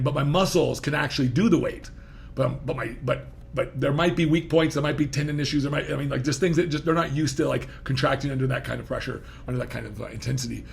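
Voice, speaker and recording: A man in his fifties with a gravelly edge hosts a relaxed podcast chat. He wanders through his thoughts and breaks off mid-sentence. A faint hiss sits under the recording. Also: on the high side. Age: 50s. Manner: rambling, self-interrupting, laughing easily. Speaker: podcast host. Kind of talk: casual interview talk.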